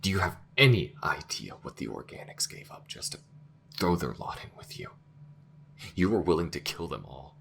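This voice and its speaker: A man playing a brash, sweary space smuggler in a science fiction audio drama, talking in halting, emotive phrases. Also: a bass voice, sing-song, gravelly, fairly bright tone, a little hiss or hum, full character voice. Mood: angry, accusing.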